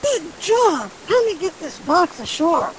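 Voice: very high-pitched, very animated, a little slow, noisy background, heavily acted. A performer voicing an eager, optimistic youngest sister, chirpy and earnest, in a fairy tale audio drama. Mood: excited, pleased.

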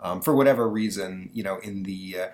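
Rambling low-voiced male podcast host. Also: brisk, a little mellow. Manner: rambling, many fillers and restarts. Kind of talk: casual chat.

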